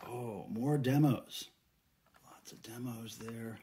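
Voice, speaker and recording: A man's voice: mid-range voice, takes it slowly, warm tone. Middle-aged American man, noisy casual vlog. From United States. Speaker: vlogger, record collector and fan site manager. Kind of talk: casual unboxing vlog talk. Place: small indoor room at home.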